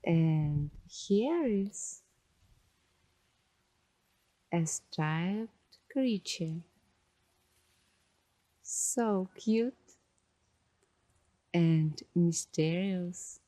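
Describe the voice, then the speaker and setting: Very slow, soft-spoken ASMR woman. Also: lowish voice, lively intonation, no echo, poor audio. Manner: slow, dreamy, halting short phrases. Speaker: ASMR host. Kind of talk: ASMR guided coloring narration.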